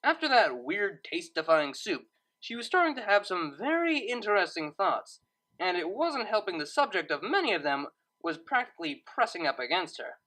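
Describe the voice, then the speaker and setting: A woman reading a story aloud as narrator, in expressive scripted narration. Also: mid-range voice, moderate pace, low-quality recording.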